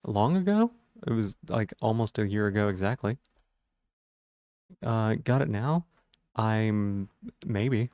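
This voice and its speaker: A man with a gravelly voice and a sore throat, rambling casually to viewers on a livestream while checking things aloud. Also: fairly low pitch, expressive, slow pace, long pauses, darkish tone, no echo, studio quality.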